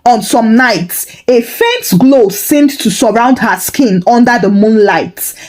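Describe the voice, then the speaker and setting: Animated woman folktale storyteller. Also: on the high side, slow. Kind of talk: scripted dramatic folktale narration.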